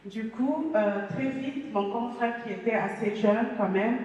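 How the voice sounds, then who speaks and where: Woman, slow, hesitant testimony, heard from far off in a reverberant church hall. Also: a little high, a little mellow. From Congo. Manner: halting, many hesitations. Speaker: testimony speaker. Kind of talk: personal testimony.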